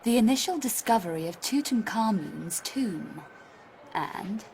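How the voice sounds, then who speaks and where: An actress playing a cool, wry young adventuress in a video game, with a dry, self-assured delivery. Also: female voice, a little high, expressive, a little slow, darkish tone, slight background noise. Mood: wry interest. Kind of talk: video game cutscene acting.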